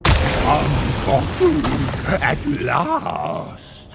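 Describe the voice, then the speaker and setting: A man's voice: medium pitch, huge pitch swings, very slow, gravelly, very noisy, exaggerated voice. A man playing a brooding, cunning plague warlord in a video game cutscene, speaking with theatrical drama. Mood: bewildered, brooding.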